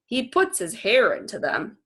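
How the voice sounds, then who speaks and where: Woman reading as a blunt, skeptical man, husky. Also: a little high, wildly swooping pitch, a little slow, fairly bright tone, full character voice. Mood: dismissive. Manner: skeptical, emphatic. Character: blunt, dismissive male janitor closing up.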